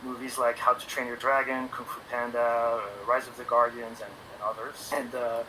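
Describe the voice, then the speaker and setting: A man in his forties with a French accent speaks in a loose, halting English, with ums and restarts all through. His voice sits in an ordinary middle range. A steady hiss runs under the stream audio. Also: mid-range voice, low-quality recording. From France. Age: 40s. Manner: hesitant, many ums and restarts. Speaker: animation guest on a stream. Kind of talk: casual interview talk.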